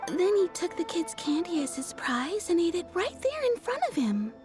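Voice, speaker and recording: A woman's voice: very high voice, big pitch swings, moderate pace. A cheerful chatty townswoman. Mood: warm, amused. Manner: chatty and amused, tells anecdotes. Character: cheerful young woman of the town, fond storyteller. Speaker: townsperson in a game. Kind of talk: video game voice acting. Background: soft game music.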